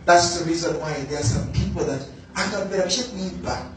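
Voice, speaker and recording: A man preaching a sermon, slow and emphatic, repeating key words for stress. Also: high-pitched, far from the mic, background noise. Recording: very echoey room, voice from afar, faint music.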